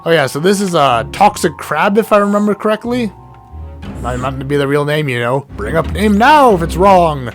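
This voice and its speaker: A hoarse man, sick, giving rambling casual commentary while playing a game, often stuttering and correcting himself. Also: a little high, expressive, moderate pace. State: hoarse from illness. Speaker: let's play gamer. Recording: game music underneath.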